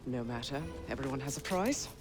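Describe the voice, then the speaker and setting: A female actor playing a shrewd, poised businesswoman in a video game, crisp, formal and commanding. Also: rumbling low, expressive, brisk, over music. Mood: cool, wry. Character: shrewd, haughty businesswoman, brisk and theatrically poised. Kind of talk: video game cutscene acting.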